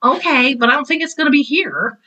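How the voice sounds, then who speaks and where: Older lady in her 60s, high bright voice, chatty and opinionated interview guest. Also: animated, brisk, lo-fi sound. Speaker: guest, retired teacher and author.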